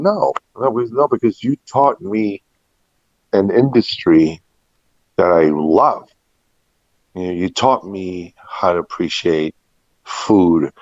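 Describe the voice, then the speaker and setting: Middle-aged male, low register, reflective anecdotal storyteller in an informal interview; dull, roomy sound. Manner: reflective, anecdotal, quoting past conversations. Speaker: restaurant and catering veteran being interviewed. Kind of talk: personal storytelling in a casual interview. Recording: muffled, echoey room recording.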